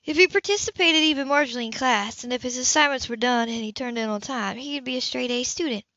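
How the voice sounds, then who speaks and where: A woman reading a novel aloud, voicing a composed professional woman who speaks crisply and a little higher. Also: fast, pushed and bright, dry recording, dull audio. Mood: businesslike. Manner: crisp and businesslike.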